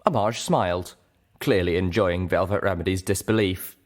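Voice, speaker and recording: A man's voice: low voice, moderate pace. A man reading a first-person story aloud as an audiobook narrator, in scripted narration.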